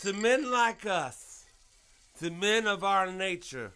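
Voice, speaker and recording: An actor playing a chummy, prying drunk at a bar, with grandiloquent, rambling, slurred speech in an audio drama. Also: male voice, sits high, sing-song, slow, pushed and bright, a little hiss or hum, heavily acted. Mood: boisterous, needling. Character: tipsy middle-of-the-night barfly, chummy, prying, grandiloquent.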